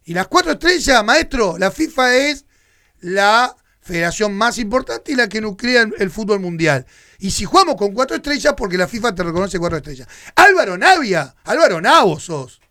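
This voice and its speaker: A man's voice: high voice, big pitch swings, moderate pace, pushed and bright, no echo, clean studio sound. A Uruguayan man with a Uruguayan Spanish accent hosting a radio sports show, giving heated, indignant opinion commentary. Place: radio studio.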